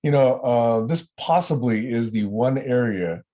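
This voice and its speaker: A man who speaks English as a second language, running a casual group call in a deliberate way. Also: medium pitch, dark tone. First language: not English. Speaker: meeting facilitator.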